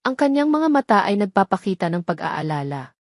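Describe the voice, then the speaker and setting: A woman's voice: medium pitch, sing-song, brisk, dry recording, studio quality. A woman reading a scripted, dramatic love story as an audio narrator.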